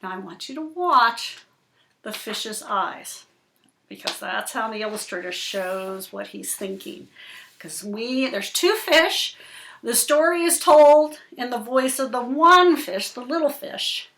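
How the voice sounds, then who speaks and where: A woman teacher leads a read-aloud for young children, explaining things and speaking to them directly. Her voice is fairly high and very animated, in a roomy, echoing space. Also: takes it slowly. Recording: roomy, echoing indoor sound.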